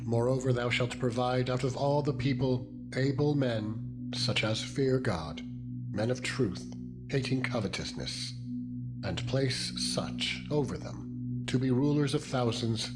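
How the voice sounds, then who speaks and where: A man reading scripture as a dramatized narration in a solemn, measured, deliberate manner. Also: medium pitch. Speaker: Bible narrator. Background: background music with tuning-fork-like tones.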